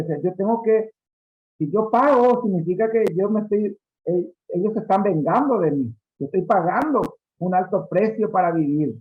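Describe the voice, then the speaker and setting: A man explaining ideas in a seminar, speaking haltingly with many drawn-out fillers. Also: high voice. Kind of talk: seminar discussion. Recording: dull lo-fi audio.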